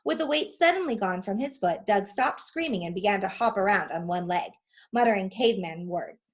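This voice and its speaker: A woman narrating a children's storybook aloud in an expressive style. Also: medium pitch, a little fast.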